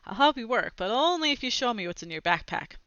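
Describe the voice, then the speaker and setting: A woman's voice: fairly high pitch, sing-song, fast talker, pushed and bright, clean tone, no echo, muffled recording. A woman voicing a defensive schoolboy from a children's storybook, with an insistent, excuse-making manner. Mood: demanding.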